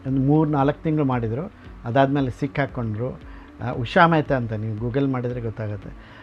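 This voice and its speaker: A man explaining and telling stories about his collection informally, conversationally mixing in English words. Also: medium pitch. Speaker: radio collector and museum keeper. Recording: dull lo-fi sound, faint music behind.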